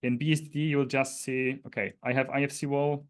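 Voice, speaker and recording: A man's voice: mid-range voice, level tone, a little fast. Polish-accented man explaining technical material. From Poland. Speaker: presenter demonstrating a data dictionary. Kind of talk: informal technical walkthrough on a web call.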